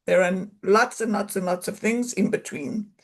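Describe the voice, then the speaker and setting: A woman's voice: medium pitch, fairly even intonation, normal speed. A South African woman in her fifties, an occupational therapist, giving a loose, chatty talk over a video call and sprinkling in Hebrew words. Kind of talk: informal online presentation.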